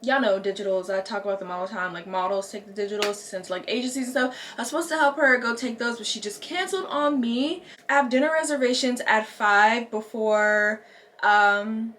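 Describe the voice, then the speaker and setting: A young woman with an American accent talks in a casual, chatty vlog style. Her voice sits fairly high and she leans on words informally. There is clear background noise behind her. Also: fairly quick, fairly bright tone. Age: young adult. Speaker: lifestyle vlogger.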